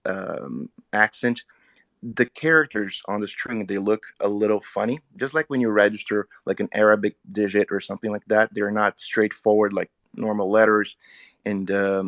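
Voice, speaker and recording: A man's voice: lowish voice, gravelly, a bit bright, no room sound, studio quality. A man whose first language is Portuguese, talking casually in an online audio chat and asking an earnest technical question. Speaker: Twitter Spaces speaker.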